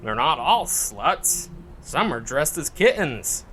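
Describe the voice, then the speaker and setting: A man's voice: on the high side, sing-song, slow, bright, forceful tone, a little hiss or hum, heavily acted. A man playing the showy host of an urban legend show in a horror audio drama. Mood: casual, let down. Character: male urban legend show host broadcasting from a haunted cemetery.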